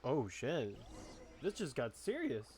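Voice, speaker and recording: A woman's voice: rumbling low, huge pitch swings, takes it slowly, a little mellow, slight background noise. A young adult woman commenting casually over a game she is playing, blunt, swearing now and then and thinking aloud. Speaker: gamer playing along.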